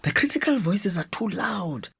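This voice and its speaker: A woman's voice: mid-range voice, big pitch swings, normal speed, a bit bright, slight background noise. A woman with a Zimbabwean accent playing a discouraged, uncertain transgender teacher in a radio drama.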